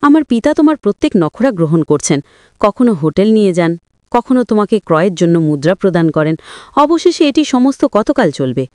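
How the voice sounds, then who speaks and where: A woman's voice: medium pitch, sing-song, a little fast, darkish tone, no room sound, studio quality, voice pushed down, close to an everyday voice. A woman voicing a worried, indignant adult son in a story, confrontational and troubled.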